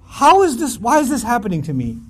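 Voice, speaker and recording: A man voicing an impatient, irritated grown son in his thirties, snapping loudly at his elderly father. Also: huge pitch swings, fairly quick, heavily acted. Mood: exasperated yelling. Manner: high, loud, irritated yelling.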